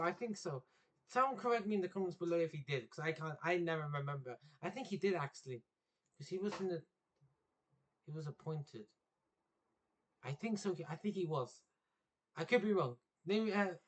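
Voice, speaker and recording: A young man from England chats about football straight to his viewers. His voice sits a little high, and he rambles, doubles back and corrects himself as he goes. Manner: rambling, self-correcting, loses his train of thought. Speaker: football vlogger. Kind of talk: casual unscripted vlog.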